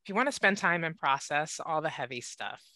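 A woman's voice: sits low, expressive, fairly quick, bright, forceful tone, no room sound. A woman in her thirties, a writer being interviewed over a video call on a headset mic at home, talking candidly and laughing at herself. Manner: candid, self-deprecating, laughs often. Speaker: guest writer. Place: home room with bookshelves.

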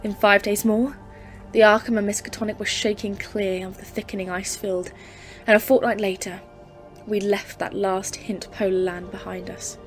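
A woman's voice: medium pitch, flat delivery, moderate pace, lightly acted. A woman playing a grave, awed professor in an audio play, speaking formally and intensely as a literary horror narrator. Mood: grave, haunted. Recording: music under the voice. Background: dramatic music.

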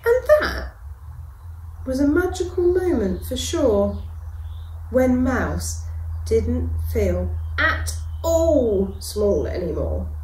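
A middle-aged woman, a reception class teacher, reading a picture book aloud to children with gentle, expressive delivery and dramatic pauses. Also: a little high. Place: school classroom, indoors. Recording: echoey classroom.

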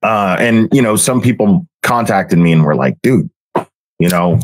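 Low-voiced man rambling haltingly on a livestream. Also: expressive, dry recording. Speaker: livestreamer, online creator. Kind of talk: casual livestream monologue.

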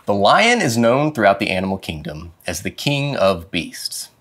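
Animated, low-voiced man reading a storybook. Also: normal speed. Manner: dramatic, animated phrasing. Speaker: storyteller reading a children's picture book. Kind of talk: expressive storybook read-aloud. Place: indoor studio with plain dark backdrop.

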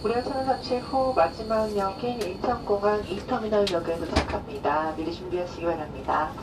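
A woman reading a scripted arrival announcement as a train announcer, heard over carriage speakers. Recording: noisy train PA from a distance. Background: train rumble.

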